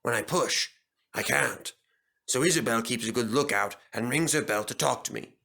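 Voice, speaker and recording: A runaway steam engine from a children's storybook, voiced by a man. He sounds weary, grateful and earnest, with a mid-range, bright voice. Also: medium pitch, normal speed, creaky. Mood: fond.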